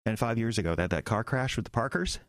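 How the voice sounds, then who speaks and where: An actor playing an earnest small-town pastor in an audio drama, halting, agitated and incredulous. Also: male voice, fairly low pitch, expressive, fairly bright tone, dry recording, studio quality. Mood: accusing, upset.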